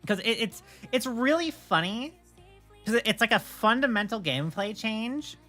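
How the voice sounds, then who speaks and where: A woman's voice: on the high side, animated, normal speed, fairly bright tone, soft background music. A woman chatting casually on a livestream, often stammering and repeating words as she explains things. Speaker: streamer and game server admin.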